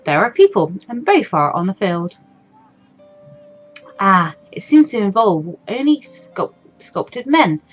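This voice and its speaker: A woman hosts a let's play, reading game text aloud. Her pitch is middling and moves around a lot. She slips between expressive narration and casual asides, with faint music behind her. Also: slow pace.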